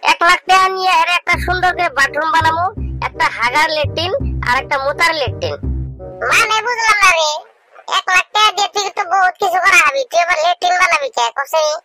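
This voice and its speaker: A man doing a squeaky village guy. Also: brisk. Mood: bantering. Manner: teasing, rough, crude village banter. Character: young village man bantering with his friend. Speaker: voice actor. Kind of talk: comedic cartoon dialogue. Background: loud music.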